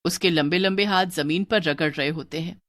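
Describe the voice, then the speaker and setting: A woman narrating a scripted horror story in a steady storybook manner. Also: fairly low pitch, normal speed, no echo, clean studio sound.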